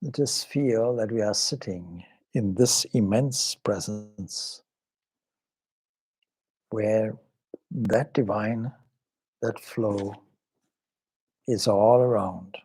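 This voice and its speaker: A man leading a guided meditation as a swami, speaking calmly and reverently in measured short phrases with pauses. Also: fairly low pitch, slightly rough, rough recording. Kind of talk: guided meditation with some Sanskrit chanting.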